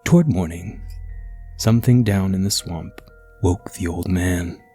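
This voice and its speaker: A man reading a scripted ghost story as narrator, dramatic and at times hushed. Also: low voice, a little slow, husky, soft background music.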